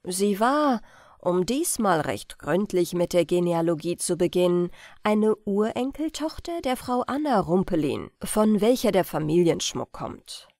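A woman reading an old-fashioned family tale as an audiobook narrator, in carefully phrased, expressive storytelling. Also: lowish voice, unhurried, no echo, studio quality. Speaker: audiobook reader.

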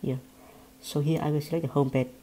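Low, mellow Vietnamese-accented woman. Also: steady tone, slight background noise, poor audio. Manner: halting, repeats words and restarts often. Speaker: software tutorial presenter. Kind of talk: screen-recorded software walkthrough.

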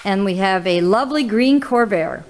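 A woman, hosting a casual auction walkthrough in an old barn full of cars, listing items with little "uh" pauses. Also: medium pitch, lively intonation, a little mellow. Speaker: auctioneer host giving a car walkthrough. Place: inside old barns and sheds full of cars.

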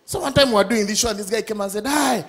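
A middle-aged man with a Ugandan accent doing a loud, playful stand-up set on a big studio stage with a handheld mic. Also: high voice, fast talker. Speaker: stand-up comedian. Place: large studio stage with live audience. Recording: live stage sound with crowd and music. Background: audience laughter and music.

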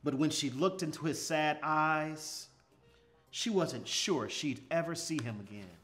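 Slow-paced man reading a storybook. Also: a little high, fairly bright tone. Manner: measured, dramatic phrasing with pauses. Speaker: storybook reader. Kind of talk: expressive children's storybook narration. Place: small furnished indoor room, seated. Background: music.

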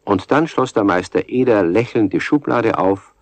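An actor as a composed storyteller, giving scripted narration in a children's radio play. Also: male voice, on the low side, lively intonation, moderate pace, no echo.

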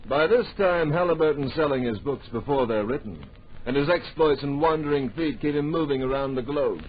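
An actor as the earnest, dramatic narrator of a radio drama, delivering scripted adventure storytelling in a grand, deliberate manner. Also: male voice, on the high side, lightly acted. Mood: measured, suspenseful. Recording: dull old radio recording.

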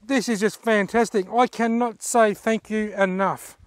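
High-pitched, enthusiastic Australian man. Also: steady tone, a little slow, no room sound. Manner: effusive, enthusiastic. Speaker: fishing vlogger, host. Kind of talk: casual vlog talk to camera. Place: outdoors on a dirt track in bushland.

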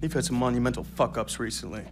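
A man's voice: medium pitch, fast talker, background noise, close to an everyday voice. A man playing a smug, menacing tech executive in a video game, talking in a condescending, faux-friendly drawl with casual swearing. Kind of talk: naturalistic game cutscene acting.

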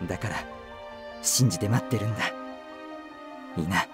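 An actor playing a gruff, protective adventure hero in an anime-style voice drama. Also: male voice, fairly low pitch, normal speed, over music, natural voice. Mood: urgent, resolute. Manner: gruff, heroic.

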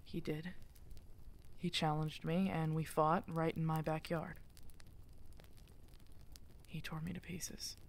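A wounded female werewolf alpha in exile, acted by a woman, speaks slowly in a lowish voice. She is halting and emotional, protective and full of guilt, often dropping into breathy half-whispers. It is intimate ASMR roleplay drama. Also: flat delivery. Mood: grieving, subdued.

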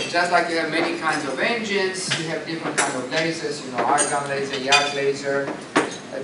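A man's voice: fairly high pitch, very noisy. A middle-aged man with a Romanian accent giving an informal lecture to colleagues, rambling with many fillers and self-corrections. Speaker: eye surgeon giving a lecture. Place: hotel conference room, indoors. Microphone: far camera. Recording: roomy, distant, loud dinner clatter. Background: cutlery and dishes clattering.

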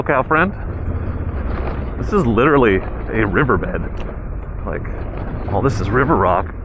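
A man talking through his motorcycle ride outdoors, exclaiming and laughing as he goes, on a helmet mic. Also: medium pitch. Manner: excited, exclaiming, laughing often. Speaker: motorcycle vlogger. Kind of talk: riding commentary vlog. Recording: noisy outdoor riding audio.

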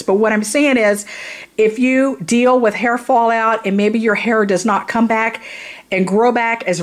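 Woman, fairly high voice, tired. Unscripted reviewer, blunt, informal, circles back to repeat points. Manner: rambling, repeats herself for emphasis. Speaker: product reviewer and hairstylist. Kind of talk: casual, unscripted product review.

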